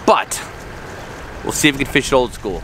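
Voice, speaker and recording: A man's voice: mid-range voice, moderate pace. A man, talking casually to a handheld camera while out fishing by creeks and lakes. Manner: relaxed, chatty, upbeat, with fillers. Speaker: fishing vlogger. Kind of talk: casual vlog talk to camera. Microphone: handheld action camera at arm's length. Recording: faint outdoor background noise.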